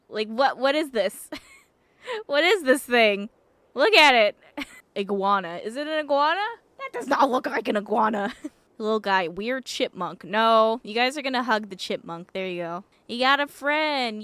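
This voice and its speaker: A woman's voice: on the high side, sing-song, a little slow, a bit bright, no echo. A woman doing casual live let's play commentary as a game streamer, playful and reactive, swearing freely. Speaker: game streamer reading dialogue aloud.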